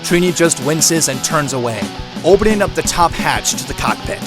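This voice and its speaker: A man's voice: a little high, expressive, moderate pace, music in the background. A man reading dramatic scripted narration for an audio drama.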